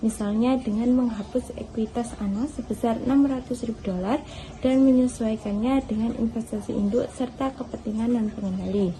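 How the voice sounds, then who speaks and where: A woman's voice: fairly high pitch, fairly even intonation, normal speed, mellow, a little hiss or hum, low-quality recording. A young adult woman giving a scripted student presentation in a formal, read-out manner.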